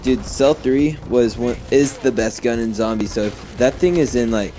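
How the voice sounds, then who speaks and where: A man's voice: mid-range voice, normal speed, warm tone, slight background noise. A teenage boy chatting and joking with a friend during an online shooter game, laughing often. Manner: giggly, joking. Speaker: co-player friend. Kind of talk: casual gaming chat. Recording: game music and gunfire behind.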